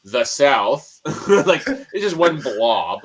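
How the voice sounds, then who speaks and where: A man's voice: medium pitch, very animated, drawn-out words, a little hiss or hum. A man from Canada with a Canadian accent, chatting casually and joking, chuckling at his own remarks. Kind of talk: casual chat.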